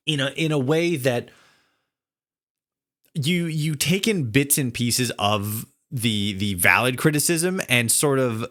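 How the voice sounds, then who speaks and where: A man hosting a casual interview, talking in a rambling, halting way and circling back over his phrases. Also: mid-range voice, fairly bright tone. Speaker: podcast host interviewing a guest. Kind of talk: casual long-winded interview chat.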